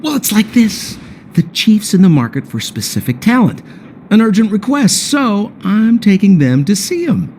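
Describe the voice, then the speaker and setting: Lively, high-pitched slippery schemer. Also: male voice, moderate pace, slight background noise. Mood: wheedling, smooth. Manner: theatrical, flowery, wheedling. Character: slippery, ingratiating male schemer talking his way out of trouble. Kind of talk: video game voice acting. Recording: loud music under the voice. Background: music.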